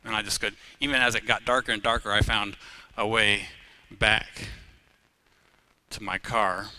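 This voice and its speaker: Bright-voiced pastor preaching conversationally. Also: male voice, fairly even intonation, a little slow, poor audio. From Uganda. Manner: conversational, with personal stories and humor; swells louder and higher on key phrases. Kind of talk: live sermon.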